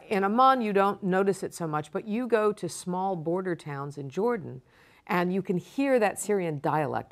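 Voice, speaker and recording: Woman guest expert, low voice, calm measured explanatory delivery for a broadcast interview. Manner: measured, explanatory, emphatic repetition.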